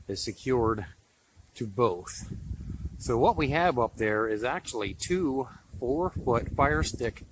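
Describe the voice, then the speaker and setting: A man's voice: mid-range voice, noisy background. An American man giving a casual show-and-tell narration as a hobbyist video host.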